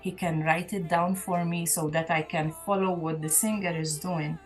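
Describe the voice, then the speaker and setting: A woman's voice: on the low side, steady tone, normal speed, faint music behind. A woman, a gospel singer speaking as an interview guest in an earnest, reflective way, often restarting her sentences. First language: Maltese. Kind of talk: casual interview chat.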